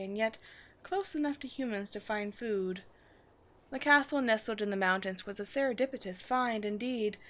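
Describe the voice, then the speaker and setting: Woman playing a haughty, measured vampire queen. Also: medium pitch, a bit bright, lightly acted. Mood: composed, dignified. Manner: formal, measured, haughty, teasing. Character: poised vampire queen, proud, wry, secretly gentle colony leader. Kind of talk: scripted roleplay audio drama.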